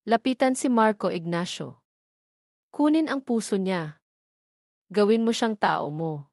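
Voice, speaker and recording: A woman plays a cold female schemer in an audio drama. She is imperious and terse, giving orders in a mid-pitched voice with a dry sound. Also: animated, unhurried, a little mellow, no echo. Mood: commanding.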